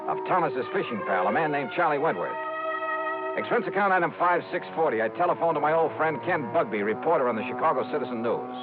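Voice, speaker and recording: Over a tinny old radio recording with music behind, a man plays a shrewd, slangy American detective. His pitch swings freely as he fires off wry, punchy lines in 1950s radio drama style. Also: lively intonation, fast talker, lightly acted. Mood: probing. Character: brash, shrewd male freelance insurance investigator.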